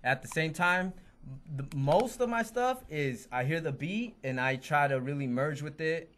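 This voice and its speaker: Earnest rapper guest, mid-pitched man. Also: expressive, measured pace, a bit bright. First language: Spanish. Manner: earnest, sincere, with many restarts. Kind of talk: casual interview chat.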